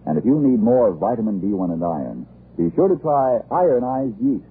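Animated, husky man, old radio announcer. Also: mid-range voice, brisk. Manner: emphatic, polished salesmanship. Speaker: announcer and sponsor pitchman. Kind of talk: 1940s radio commercial. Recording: muffled, band-limited old radio broadcast.

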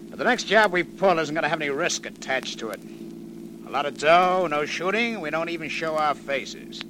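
An actor playing a confident, scheming American gang boss in a 1940s radio crime drama. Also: male voice, lively intonation, normal speed, lightly acted. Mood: cool, scheming. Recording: noisy old radio recording, vehicle rumble.

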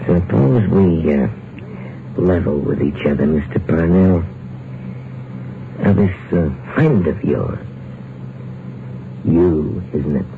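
An actor playing a sly, drawling bookie with mob ties in a 1950s radio drama. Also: male voice, extremely low pitch, creaky, exaggerated voice. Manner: slow, sly, drawling with hesitations. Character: sly, gravelly bookie who deals with mobsters. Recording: tinny, hissy old radio broadcast.

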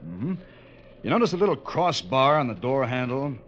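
An actor playing a guarded, dutiful ship's officer in an old radio drama, with a terse, understated delivery. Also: male voice, mid-range voice, big pitch swings, moderate pace, lightly acted. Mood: curt, guarded. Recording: muffled, noisy vintage radio recording.

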